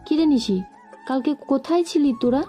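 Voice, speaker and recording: A woman's voice: high-pitched, a little fast, warm tone, lightly acted. A woman playing a curious, casual young relative in an audio love story, with music underneath. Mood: inquisitive.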